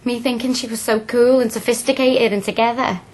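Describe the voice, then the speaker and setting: A woman's voice: a little high, steady tone, fairly quick, natural voice. A woman playing a frank, earnest young working-class student in a radio drama, plain-spoken and colloquial. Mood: earnest, reflective.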